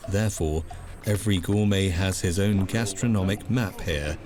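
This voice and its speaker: Lowish male narrator, calm scripted read, music running underneath. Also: moderate pace. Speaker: documentary narrator.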